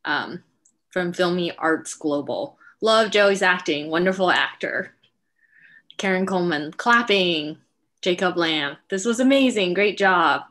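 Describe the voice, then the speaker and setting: Low-pitched woman hosting an online Q&A. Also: animated, a little slow, a bit bright. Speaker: host, writer and director. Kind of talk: moderating an online Q&A. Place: indoor room, video call. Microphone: video call, wearing headset.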